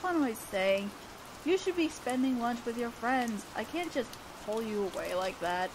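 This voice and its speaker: A young woman playing an awkward, earnest teenage student council president in a romantic audio roleplay, speaking in a shy, halting way. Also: a little high, animated, background noise, close to an everyday voice. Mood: bashful, hopeful.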